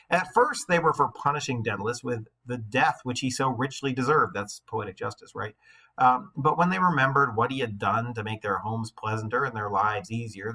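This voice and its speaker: Husky-voiced man teaching and reading aloud. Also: mid-range voice, pushed and bright, poor audio. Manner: conversational, informal asides. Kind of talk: expressive storybook reading mixed with casual classroom explanation.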